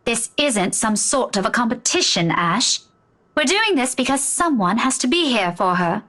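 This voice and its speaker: A woman's voice: a little high, big pitch swings, moderate pace, a bit bright. An actress playing a caring, stubborn young woman schoolteacher in a video game, earnest and firm with her friends. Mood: insistent.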